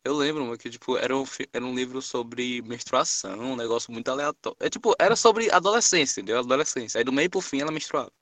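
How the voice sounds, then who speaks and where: A seventeen-year-old young man, hosting a casual podcast chat and telling rambling, animated stories full of slang. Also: mid-range voice, quick pace, hardly any pauses, no room sound. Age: teens. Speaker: podcast host.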